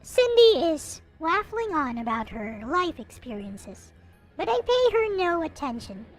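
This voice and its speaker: High, animated woman reading aloud. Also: a little slow, rough recording. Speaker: host reading a visual novel aloud. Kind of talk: expressive read-aloud with casual asides. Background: background music.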